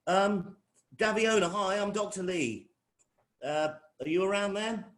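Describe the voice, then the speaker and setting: A man's voice: high voice, measured pace, a bit bright. Rambling middle-aged Englishman. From England. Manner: rambling, repeats phrases and restarts sentences. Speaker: college lecturer hosting an information session. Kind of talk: informal welcome talk to prospective students.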